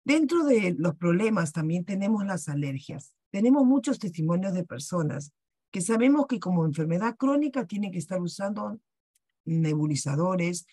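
A woman's voice: fairly low pitch, sing-song, moderate pace, warm tone, slightly rough, dry recording, clean studio sound. A woman with a Peruvian Spanish accent hosts a wellness workshop on a video call, selling in a persuasive way and asking rhetorical questions. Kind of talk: sales presentation on a video call.

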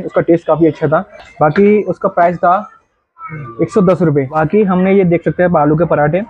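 A man's voice: fairly high pitch, slightly rough. A man casually vlogging on location, chatting with people and guiding viewers around outdoors. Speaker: travel vlogger. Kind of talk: casual on-location travel vlog. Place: outdoors in a busy hill town. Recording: muffled lo-fi outdoor recording.

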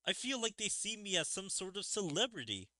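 A man's voice: sits high, sing-song, a little fast, sharp tone, no echo, clean studio sound, pitched above the performer's own voice, full character voice. A man voicing a weary, anxious young male hero from a fantasy game, reading the dialogue aloud.

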